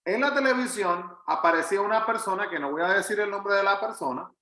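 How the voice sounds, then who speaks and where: Puerto rican guy pastor doing bible study, bright forceful voice, repeats himself to make points, echoey room. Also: sits high, fairly quick. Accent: Puerto Rican Spanish. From Puerto Rico. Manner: earnest, emphatic, repeats phrases. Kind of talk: conversational Bible teaching.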